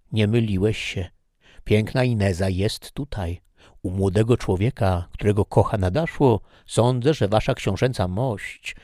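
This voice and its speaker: A man's voice: fairly low pitch, a little mellow, dry recording, studio quality. A man voicing a proud, honourable knight who speaks firmly and with dignity.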